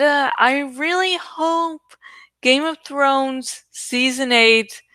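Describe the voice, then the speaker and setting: A woman's voice: mid-range voice, sharp tone, clean tone, dry recording. A woman host talking casually to her viewers, rambling in an irreverent, sweary, giggly way. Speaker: host of a reading channel.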